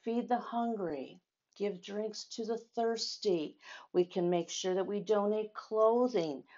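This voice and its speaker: A woman teaching and reading a story aloud to young children, speaking in simple, deliberate phrases and asking them questions. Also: medium pitch, warm tone, muffled recording.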